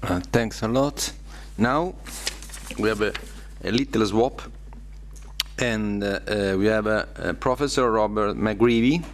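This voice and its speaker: A man's voice: fairly low pitch, measured pace, pauses often. A man moderating a seminar session, thanking one speaker and introducing the next with several hesitant "uh"s.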